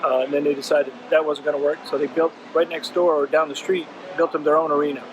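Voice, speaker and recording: A man with a slightly raised voice chats in a relaxed, easygoing way alongside his partner on their travel vlog. His replies tend to be short and offhand, and a steady background hum sits under the recording. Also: fairly high pitch, fast. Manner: laid-back, brief replies. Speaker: co-host, vlogger's partner. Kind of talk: casual vlog chat.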